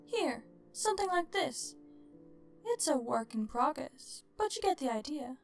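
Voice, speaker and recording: A woman voicing a gleefully morbid ghost in a storybook tale, with theatrical, drawn-out taunting. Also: high voice, very animated, soft background music, voice pushed up, heavily acted. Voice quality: groaning, creaky, cackling delivery.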